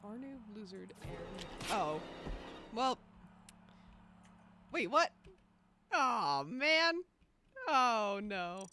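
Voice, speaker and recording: A man's voice: high-pitched, big pitch swings, very slow, long pauses, bright, forceful tone. A man chatting casually and playfully as a player in an online game, with dramatic little asides. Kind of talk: casual group game chat. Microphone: voice chat microphone. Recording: clearly noisy home mic with clicks.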